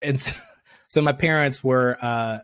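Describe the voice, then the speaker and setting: A young man from Tanzania, a podcast guest, chatting casually and acting out quotes with sudden jumps in pitch, laughing often. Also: steady tone, a little slow, fairly bright tone, clear, resonant tone, dry recording, studio quality. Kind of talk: casual interview chat.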